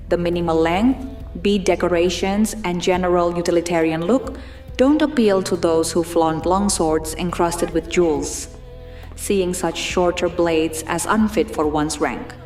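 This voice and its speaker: A woman narrates in a lower register, with a measured and formal delivery. It is a scripted, documentary-style reading of fantasy lore. The sound is roomy, with faint music underneath. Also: darkish tone.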